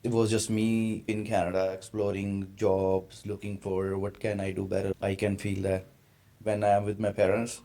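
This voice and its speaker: An earnest man from India in his late twenties, hosting a podcast in a fairly low voice. He asks his questions carefully, with searching, slightly non-native phrasing. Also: flat delivery, normal speed, mellow. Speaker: podcast host. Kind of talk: casual interview conversation.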